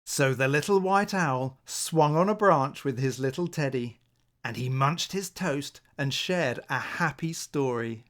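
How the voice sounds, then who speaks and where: A man narrating a children's bedtime story in a gentle, measured manner. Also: medium pitch.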